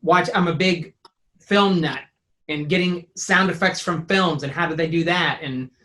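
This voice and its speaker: Man, fairly high voice, very bright tone, casual interview talk, enthusiastic, thinking aloud. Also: a little slow. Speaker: sound designer and composer; teacher.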